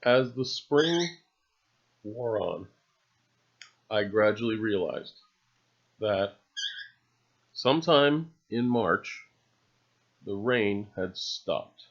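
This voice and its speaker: A man narrating an unscripted, rambling voice-over in a halting, musing way, with dry, understated humor. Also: fairly low pitch. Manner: halting, musing delivery with long trailing phrases. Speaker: video narrator. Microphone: separately recorded voice-over. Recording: dull, lo-fi voice recording.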